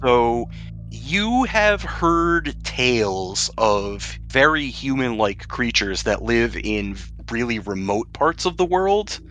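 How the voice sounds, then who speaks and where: Bright-voiced man running a tabletop game. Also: mid-range voice, animated, slow, faint music behind. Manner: dramatic descriptions mixed with casual rules talk. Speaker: game master. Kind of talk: narrating a tabletop roleplaying game.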